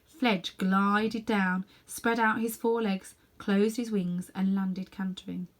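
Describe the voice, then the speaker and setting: A woman narrating a children's novel as an expressive read-aloud storyteller. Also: medium pitch, slow. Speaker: storybook reader.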